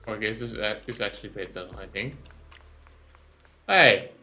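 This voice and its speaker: A man's voice: on the low side, fairly even intonation, fast, sharp tone. A man doing casual live reaction commentary as a gaming streamer, thinking aloud in short remarks. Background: game music.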